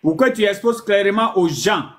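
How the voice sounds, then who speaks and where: A man taking part in a debate, reading scripture passages aloud in a steady way. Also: high-pitched, normal speed.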